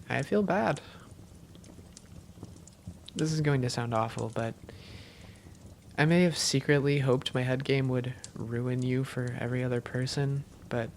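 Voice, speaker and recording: Woman playing a low, creaky-voiced vampire girlfriend. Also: a little mellow, slight background noise, heavily acted.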